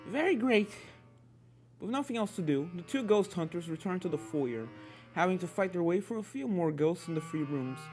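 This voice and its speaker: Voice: mid-range voice, expressive, brisk, a little mellow, soft background music. A narrator reading a scripted fan fiction story aloud.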